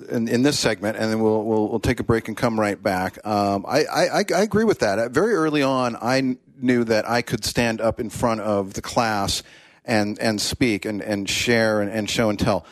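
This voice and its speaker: A man's voice: lowish voice, normal speed, no echo. A man co-hosting a talk radio show, joking and stammering over his restarts as he wraps up a segment.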